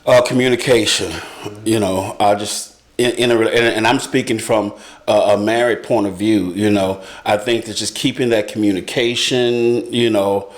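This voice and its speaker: A man's voice: fairly low pitch, steady tone, moderate pace. A man speaking casually as a panel guest, hesitant and often repeating himself as he searches for words. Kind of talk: casual conversation.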